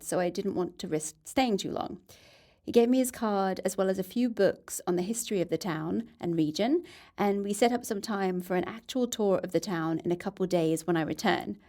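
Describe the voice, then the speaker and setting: Female actor as investigative podcast reporter: lower register, conversational, candid, dry first-person storytelling. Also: dark tone, natural voice. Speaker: reporter and narrator.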